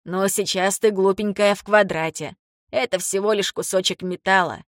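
A woman voicing an ailing older father in an audiobook, blunt, teasing and fond, speaking slowly and haltingly. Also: mid-range voice, fairly even intonation, dry recording, studio quality, close to an everyday voice. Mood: firm, fond. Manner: slow, deliberate, halting for words. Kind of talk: audiobook character dialogue.

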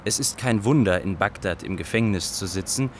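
A man's voice: sits low, normal speed, slight background noise. An actor playing a sober male chronicler who narrates a radio drama in a factual manner.

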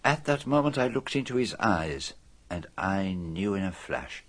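An actor playing a self-assured, methodical detective in a radio drama, speaking formally with dramatic emphasis. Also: male voice, sits low, very animated, unhurried, heavily acted. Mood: grave, revealing. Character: famous male detective, methodical, self-assured, theatrical in revealing a murderer.

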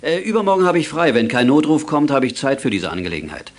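An easygoing middle-aged father played by a man speaks in a medium-pitched voice. He is genial and helpful, explaining things like a kindly mentor in a 1980s children's radio play. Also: sing-song, fast, close to an everyday voice. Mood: helpful, relaxed. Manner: genial, instructive, avuncular.

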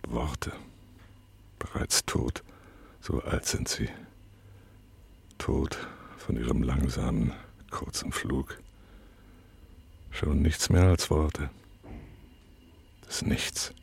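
Very deep, husky, broken male prisoner. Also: whispered, heavily acted. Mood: bleak, brooding. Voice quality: husky, breathy, gravelly. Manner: halting, fragmented, brooding inner monologue. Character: tortured male prisoner in a cell, numb, detached, bitterly weary. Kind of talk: literary radio play monologue.

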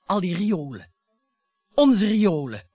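An actress in a radio play playing a bitter, provocative wife who dwells on words theatrically. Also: female voice, mid-range voice, sing-song, takes it slowly, warm tone, no room sound. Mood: brooding. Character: bitter, taunting wife dredging up old family grievances.

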